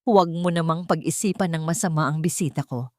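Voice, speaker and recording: A woman voicing a calm, guarded wife in a dramatic story, controlled in manner, with a Filipino accent. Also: deep voice, brisk, no room sound, clean studio sound, natural voice. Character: composed, guarded young wife hiding her plans.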